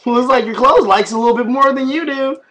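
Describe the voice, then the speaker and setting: A man's voice: very high voice, a little fast. A man in his thirties, a father talking playfully to his baby while filming a home vlog on a webcam. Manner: animated, singsong baby talk. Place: small room at home, indoors. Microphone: webcam at arm's length.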